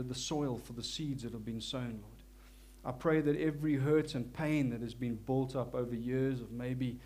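A man with a South African accent preaching a church sermon, earnest and humble, with frequent restarts and softer, slower prayer passages. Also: mid-range voice, slight background noise. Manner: prays softly and slowly. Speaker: lay preacher.

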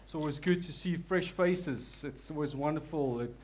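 A man with a South African accent preaching a church sermon, earnest and humble, with frequent restarts and softer, slower prayer passages. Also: a little high. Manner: prays softly and slowly. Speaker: lay preacher.

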